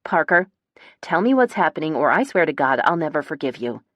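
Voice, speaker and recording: A woman voicing a defiant, demanding woman in her twenties in an audiobook, with sharp, emotional insistence. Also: sits low, big pitch swings, fast, no echo, studio quality. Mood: angry. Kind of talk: audiobook character dialogue.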